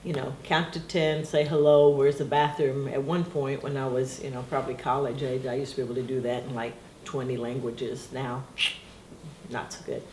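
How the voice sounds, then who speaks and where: A woman's voice: extremely low pitch, level tone, normal speed. A middle-aged woman whose first language is Portuguese, being interviewed at home, talking in a rambling, reflective way and laughing often. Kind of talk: casual reminiscing interview. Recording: echoey room with faint noise.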